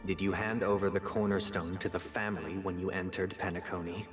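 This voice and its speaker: An actor voicing a composed, fastidious, menacing male head of a powerful family in a video game, speaking in a measured, formal, commanding way. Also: low voice, level tone, natural voice. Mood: interrogating. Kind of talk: dramatic video game voice acting. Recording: long reverb, game music underneath.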